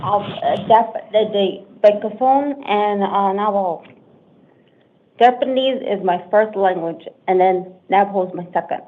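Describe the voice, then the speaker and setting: A woman from Arizona, a defendant in a court hearing, who talks haltingly and stumbles over her words. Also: medium pitch. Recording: tinny court livestream audio.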